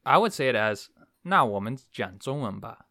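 Lively-voiced male podcast host. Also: medium pitch, no room sound, clean studio sound. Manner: teasing, quick to laugh. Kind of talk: casual chat.

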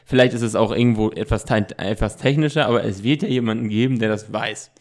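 A man's voice: fairly low pitch, fast talker. A man co-hosting a casual podcast, giving opinions in a rambling way with many broken-off sentences. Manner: rambling, breaks off and restarts sentences. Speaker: podcast co-host.

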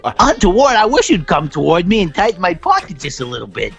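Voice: medium pitch, wildly swooping pitch, fairly quick, gravelly, highs cut off, faint music behind. A performer voicing a wheedling twelve-year-old shoeshine boy in an improvised radio drama parody. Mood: sly, insinuating. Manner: insinuating, eager, melodramatic.

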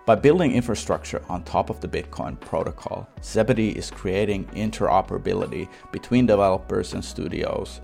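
A man's voice: low voice, brisk, gravelly, hardly any pauses, darkish tone, soft background music. A man speaking as a podcast host, introducing and presenting in a prepared but personal style. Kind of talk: podcast intro, sponsor read and interview.

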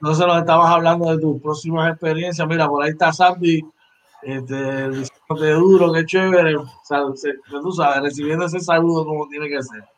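A man's voice: fairly high pitch, fairly even intonation, normal speed, a little hiss or hum. A man in his forties, a sports podcast co-host, chatting animatedly and repeating phrases. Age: 40s. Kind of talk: casual sports chat.

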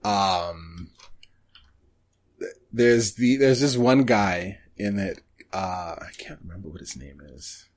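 A man's voice: on the low side, animated, takes it slowly, pauses often. A man co-hosting a casual podcast about anime, speaking hesitantly and often trailing off. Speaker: podcast co-host.

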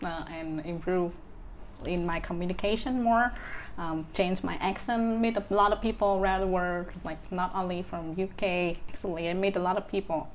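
A woman's voice: lowish voice, steady tone, normal speed, a little hiss or hum. A woman in her thirties from Vietnam with a Vietnamese accent, speaking as an interview guest with many fillers and restarts. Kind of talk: casual interview.

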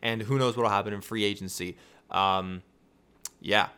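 A young man hosts a casual sports podcast, his lowish voice raspy and worn with fatigue. He rambles, restarts his sentences and fires off excited rhetorical questions. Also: normal speed. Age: young adult. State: tired. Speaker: podcast host.

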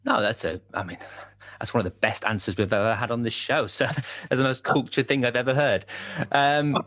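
A man's voice: medium pitch, steady tone, brisk, fairly bright tone. A man hosting a podcast, chatting casually in a friendly way and laughing easily. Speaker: podcast host. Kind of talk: casual chat.

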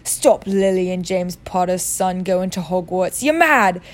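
A woman putting on a gruff bearded giant. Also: mid-range voice, normal speed, a little mellow, lightly acted. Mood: indignant. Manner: rough rural speech, dropped words. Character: gruff huge bearded male giant, warm-hearted.

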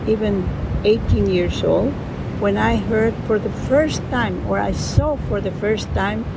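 A woman in her seventies with a Colombian Spanish accent, a yoga teacher telling her life story in a halting, gentle way, searching for words. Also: medium pitch, creaky, heavy background noise. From Bolivia, raised in Colombia. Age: 70s. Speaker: yoga teacher, interview guest. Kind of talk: storytelling interview.

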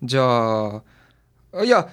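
A man's voice: mid-range voice, takes it slowly, smooth. An actor playing a hesitant, sentimental married father with a Kansai accent, a nervous client in a radio drama. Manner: hesitant, defensive, excitable. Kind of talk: audio drama acting.

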